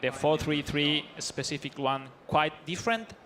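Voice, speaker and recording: A man's voice: fairly high pitch, lively intonation, a bit bright, slight background noise. Eager Spanish-native man analyzing a match. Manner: eager, non-native phrasing, quick rising calls during play. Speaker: esports analyst. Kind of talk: live esports commentary.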